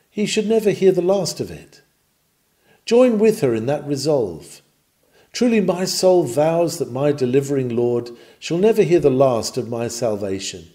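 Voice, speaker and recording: Male sermon narrator, slightly higher-pitched and very animated, emphatic oratorical delivery. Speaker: narrator reading a historical sermon. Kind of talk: dramatic sermon reading, scripted.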